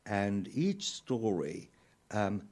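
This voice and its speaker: Low-voiced South African man. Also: sing-song, drawn-out words, darkish tone. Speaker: interview guest, author and lyricist. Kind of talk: reflective radio interview talk.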